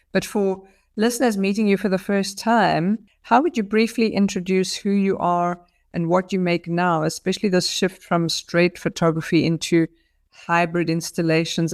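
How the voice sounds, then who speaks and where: A woman's voice: fairly low pitch, no echo, studio quality. A woman hosting an interview show, reading a scripted introduction and asking long, multi-part questions. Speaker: podcast host.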